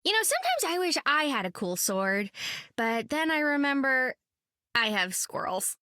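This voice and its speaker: An actress playing a quirky, perky young heroine, chirpy and chatty, in a video game. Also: female voice, high-pitched, sing-song, moderate pace, sharp tone, no echo, studio quality, exaggerated voice. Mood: cheery. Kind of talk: video game character acting.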